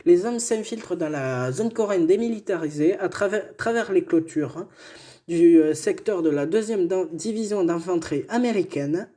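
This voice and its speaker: A man with a mellow, dark voice reads history notes aloud in a relaxed chat. He often stumbles, restarts words and drops joking asides between facts. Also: sits high, normal speed. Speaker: co-host telling history. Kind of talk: casual reading of history notes.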